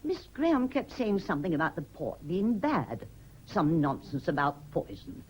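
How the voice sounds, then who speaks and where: A maid in a radio play, with very animated intonation. She is deferential yet blunt and grumbling, recorded with faint background noise. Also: lowish voice, moderate pace. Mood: matter-of-fact, grumbling. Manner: matter-of-fact, deferential, grumbling. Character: blunt, chatty, deferential female household servant. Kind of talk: British radio drama acting.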